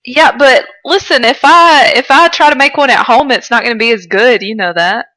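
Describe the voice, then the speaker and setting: A woman's voice: on the high side, normal speed, pushed and bright, rough recording. A young woman co-hosting a podcast, chatting casually with playful, informal banter. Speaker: podcast co-host. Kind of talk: casual comedic chat.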